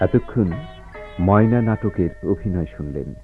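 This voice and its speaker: A man's voice: deep voice, animated, normal speed. A man announcing for a radio drama, reading scripted material in a formal style. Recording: dull, lo-fi radio recording. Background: guitar-like plucked music.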